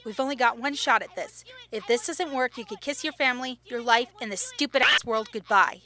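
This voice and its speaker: A woman's voice: on the high side, brisk, a crowd in the background, natural voice. A woman playing a bossy, sarcastic, foul-mouthed young woman in an audio drama, snappy and sardonic. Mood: stern.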